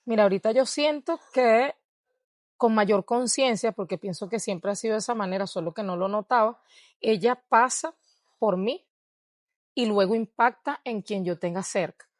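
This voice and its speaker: A woman's voice: mid-range voice, moderate pace, no room sound, clean studio sound. A Venezuelan woman with a Venezuelan Spanish accent, answering interview questions in a reflective way and laughing often. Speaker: guest, dancer and researcher. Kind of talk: interview conversation.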